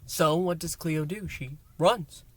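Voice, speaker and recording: A man hosting a review and recap, speaking in an emphatic, theatrical way with dramatic pauses. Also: a little high. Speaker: host, reviewer. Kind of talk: enthusiastic scripted-style recap and review to listeners.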